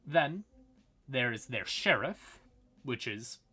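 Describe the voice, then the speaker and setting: A man giving an informal livestream explainer on fantasy lore, halting and wry, with casual asides to his chat. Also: medium pitch, lively intonation, pushed and bright, breathy edge.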